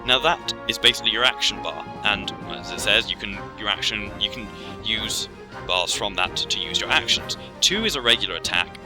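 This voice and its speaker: Casting: male game commentator, low register, rambling casual tutorial style, game music underneath. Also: moderate pace. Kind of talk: casual gameplay explanation. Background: video game music.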